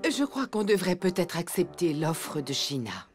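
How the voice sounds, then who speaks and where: A woman's voice: lowish voice, sing-song, normal speed, darkish tone, soft background music. A woman playing a caring, sensible mother in a French cartoon. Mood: diplomatic.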